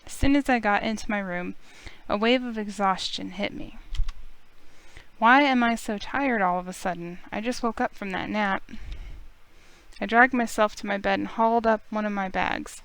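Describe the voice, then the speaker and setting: A woman's voice: mid-range voice, normal speed, a bit bright, clear, resonant tone, no room sound, natural voice. A woman voicing a dutiful, weary young heroine as she reads a visual novel aloud earnestly. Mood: reflective, tired. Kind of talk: read-aloud visual novel narration and dialogue.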